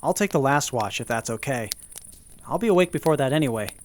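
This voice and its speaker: A man playing a quick-talking, earnest traveler. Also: medium pitch, lively intonation, a little mellow, close to an everyday voice.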